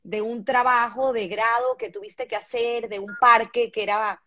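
A middle-aged woman hosting an interview over a video call, asking questions in a relaxed way and reading viewer comments aloud. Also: on the high side, moderate pace. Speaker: interviewer host. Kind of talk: casual interview. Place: home room. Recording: dull video-call audio.

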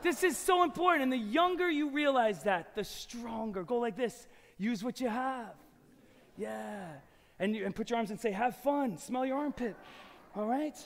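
A man performing a live family magic show, coaxing volunteers and the audience with lively energy in a large hall. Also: extremely high pitch, normal speed, creaky. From New York. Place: large old church concert hall with audience. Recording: loud background music in a hall.

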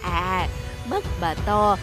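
A woman's voice: fairly low pitch, lively intonation, slow. Woman acting a falsely sweet old granny, music behind. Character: wolf posing as a doting old grandmother. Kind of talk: children's fairy-tale cartoon dub. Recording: music under the voice.

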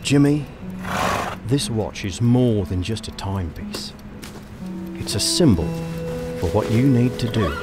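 An actor playing a warm, hardworking nineteenth-century farmer father in a family audio drama, with a folksy, hearty manner. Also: fairly low pitch, close to an everyday voice. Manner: folksy, hearty, rustic turns of phrase. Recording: loud music behind the voice.

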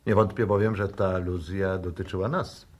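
An actor playing an uneasy, probing scientist with a formal, insistent manner, in 1960s radio drama style. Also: male voice, sits low, fairly even intonation, moderate pace.

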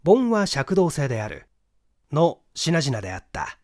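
A man narrating a mystery story from a script in an expressive storytelling manner. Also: fairly high pitch, unhurried, dry recording, studio quality. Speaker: audiobook narrator, stage actor.

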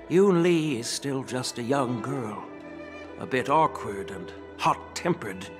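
An actor playing a humble, kindly old elder in a video game, polite, hesitant and apologetic. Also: male voice, medium pitch, fairly bright tone, heavily acted. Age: older.

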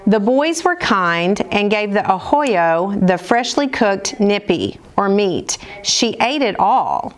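A middle-aged woman with an American accent reads aloud as a storyteller for children, in a measured, instructional manner with careful enunciation. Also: mid-range voice, big pitch swings. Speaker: storyteller, school program director. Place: indoors, seated at table before dark curtain.

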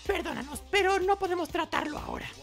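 A man voicing a polite, cool, composed young woman from a video game, softly and aloofly. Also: extremely high pitch, huge pitch swings, quick pace, creaky, faint music behind, voice pushed up, exaggerated voice.

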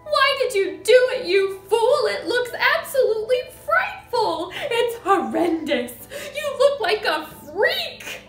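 A woman voicing a hysterical middle-aged mother, scornful and scolding in a shrill screech. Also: big pitch swings, slow pace, hardly any pauses, roomy sound, faint music behind, heavily acted. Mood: appalled scolding. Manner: scolding, exclamatory.

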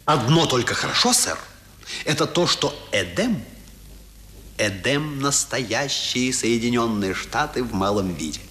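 An actor playing a cheerful, loyal young manservant in a radio drama, lively and deferential. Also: male voice, mid-range voice, a little slow. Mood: cheerful, soothing. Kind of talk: 1970s Russian radio drama acting.

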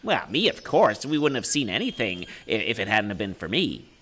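A man voicing excitable young spider children in a puppet story, with an urgent, exclaiming manner. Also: fairly low pitch, moderate pace, husky, exaggerated voice. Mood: boastful, arguing.

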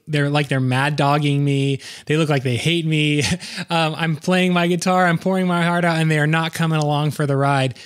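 A man hosting a podcast interview, affirming his guest and asking long, quick questions. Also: fairly high pitch. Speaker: podcast host. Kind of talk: casual interview chat.

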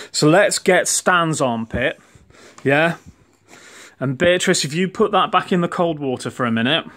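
A man's voice: mid-range voice, fairly bright tone. A man giving a casual home science demonstration to children, explaining in an encouraging, playful way. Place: indoors in a home living room. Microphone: handheld phone behind the camera.

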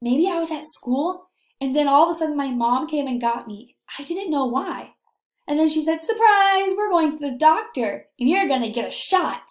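A young woman teacher leading a read-aloud lesson for young children, animated and encouraging, asking questions and pausing for answers. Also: sits high. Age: young adult. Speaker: early elementary teacher. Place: indoor room, echoey. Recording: echoey room.

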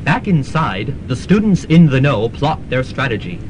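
A man's voice: sing-song, moderate pace, natural voice. A man playing an earnest narrator of a student radio serial, reading scripted narration. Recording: noisy rumbling student radio recording.

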